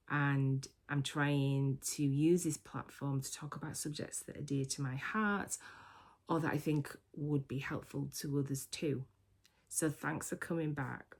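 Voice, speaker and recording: Gravelly-voiced woman in her sixties. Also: sits low, darkish tone. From United Kingdom. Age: 60s. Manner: chatty, reflective, with filler words and restarts. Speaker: vlogger. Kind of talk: casual chat to camera.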